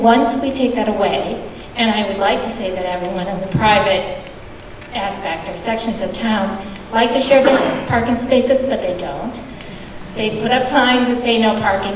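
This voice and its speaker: A woman resident making an earnest, persistent public comment at a community meeting. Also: mid-range voice. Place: large meeting hall. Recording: echoey hall, distant mic, background noise.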